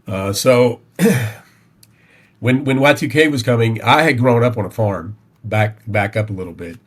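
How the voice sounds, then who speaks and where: A middle-aged man from Tennessee with a Southern American accent, telling personal stories as a podcast guest in a halting, folksy way. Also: medium pitch, breathy edge. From Tennessee, United States. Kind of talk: conversational personal storytelling.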